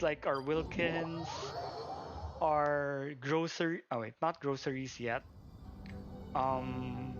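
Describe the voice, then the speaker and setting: A man with a fairly high voice hosts a casual game livestream. He talks in an excitable, effusive manner, often repeating himself. Game music plays clearly behind him. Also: lo-fi sound. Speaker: live game streamer. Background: game music and character voices.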